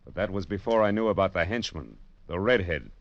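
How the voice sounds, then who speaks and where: An American actor playing a wry, hard-boiled private detective in a 1940s radio drama, with a sardonic, clipped, wisecracking manner. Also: male voice, low voice, lively intonation, a little fast, highs cut off. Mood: wry, world-weary. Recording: old radio broadcast, music behind.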